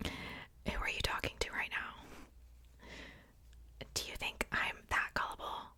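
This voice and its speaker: A woman's voice: a little slow, sharp tone. A young adult woman hosting ASMR, whispering casually in a rambling, informal show-and-tell with self-joking asides. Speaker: ASMR video host.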